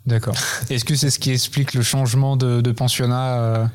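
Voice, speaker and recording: Intervieweur de podcast, voix moyenne, informel. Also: male voice, mid-range voice, flat delivery, moderate pace. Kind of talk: conversational interview questions.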